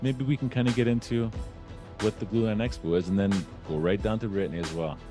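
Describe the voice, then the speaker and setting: Male podcast host, low voice, effusive, emphatic repetition, interview style, music behind. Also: steady tone, quick pace. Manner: effusive, repeats words for emphasis. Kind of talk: upbeat interview hosting.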